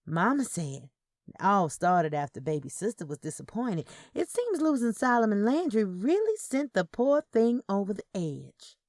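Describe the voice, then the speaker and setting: A woman's voice: mid-range voice, sing-song, moderate pace, darkish tone, no room sound, clean studio sound, voice pushed up. A woman voicing a gossipy young Southern mother, drawling and conspiratorial, in a story.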